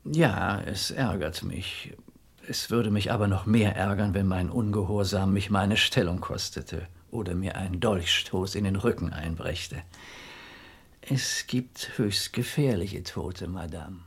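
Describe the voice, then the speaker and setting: A police commissioner in a radio crime play. His voice is low and husky. He speaks formally and with measured courtesy, cautious and resigned as he chooses his words. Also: full character voice. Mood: resigned, warning. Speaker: actor in a radio crime drama. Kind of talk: German radio play acting.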